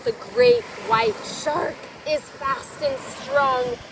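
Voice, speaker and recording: A woman's voice: high-pitched, expressive, very slow. A woman hosting a children's story time, reading a picture book aloud expressively and explaining things simply to young listeners. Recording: distant mic, noisy surf-like outdoor ambience.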